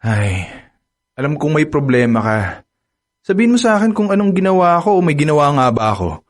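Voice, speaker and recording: A man's voice: fairly high pitch, big pitch swings, fairly quick. A young man acting a devoted boyfriend in a radio drama, talking in a pleading, flustered way and stammering when pressed. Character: young man, lovestruck boyfriend growing suspicious. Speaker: lead character, devoted boyfriend.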